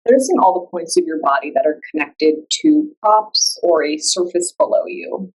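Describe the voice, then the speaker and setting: A woman guiding a meditation in a calm, soothing, unhurried way, leaving long pauses between instructions. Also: low voice, slightly rough, rough recording. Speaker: yoga nidra meditation guide. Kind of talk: guided meditation.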